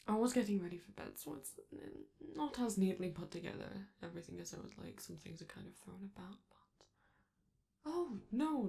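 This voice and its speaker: A woman voicing a flamboyant, vain robot star in a scripted ASMR roleplay, theatrical and doting, with teasing endearments and drawn-out words. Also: medium pitch, vocal fry, dark tone. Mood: welcoming, flirtatious.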